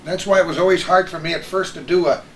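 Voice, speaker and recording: A man's voice: high voice, steady tone, fast, a bit bright. A middle-aged man, an interviewer and veteran broadcaster, chatting casually with old colleagues. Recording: roomy, echoing, faint noise.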